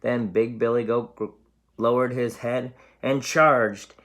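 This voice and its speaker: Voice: medium pitch, steady tone, slow. A storyteller reading a picture book aloud expressively for young children.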